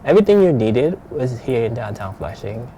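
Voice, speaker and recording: A man in his fifties from Jamaica speaks in a relaxed, conversational way, remembering the past. His voice is dark and mellow, at a medium pitch. A faint hiss is heard behind him. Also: moderate pace, muffled recording. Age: 50s. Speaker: documentary interviewee. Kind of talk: reminiscing.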